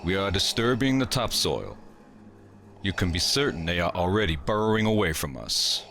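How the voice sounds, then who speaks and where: Male actor as self-assured imperial inspector for audio comic, deep register, precise, meticulous delivery. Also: animated, moderate pace, a bit bright, a little hiss or hum. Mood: grave, calculating. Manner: precise, formal, clipped. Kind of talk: dramatic audio comic dub.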